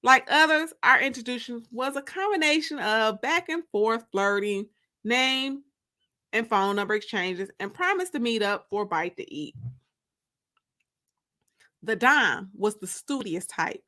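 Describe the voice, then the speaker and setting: Woman, story narrator, higher-pitched, forceful bright tone, emphatic, expressive first-person delivery. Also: unhurried. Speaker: narrator reading a story aloud.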